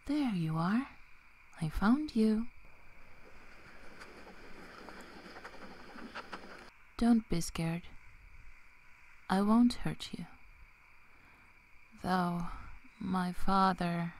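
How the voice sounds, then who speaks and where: A woman playing a young, protective, teasing female werewolf in a soft, half-whispered ASMR roleplay, with long pauses. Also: on the low side, slight background noise. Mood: stalking, coaxing, then warning, guarded. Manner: slow, hushed, breathy delivery with long pauses.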